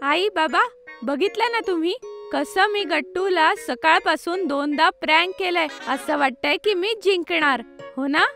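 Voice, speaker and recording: A mischievous little cartoon girl with an extremely high voice. She is giggly and exclamatory, with a bright, sharp edge, and music plays along. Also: measured pace, exaggerated voice. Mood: gleeful, teasing. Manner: exclamatory, teasing. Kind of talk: children's cartoon voice acting.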